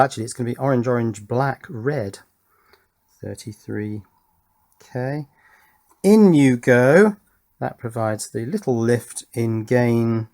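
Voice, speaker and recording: A man's voice: medium pitch, darkish tone. A middle-aged man giving a casual, chatty running commentary on a hands-on electronics build, thinking aloud and often putting little questions to himself. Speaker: electronics hobbyist presenter.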